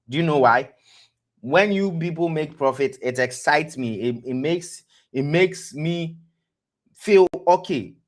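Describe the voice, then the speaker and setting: West African man teaching a trading lesson. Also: mid-range voice. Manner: quizzes listeners with rhetorical "what?" prompts; repeats words and restarts phrases. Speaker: trading educator, stream host. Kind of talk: live-streamed trading lesson.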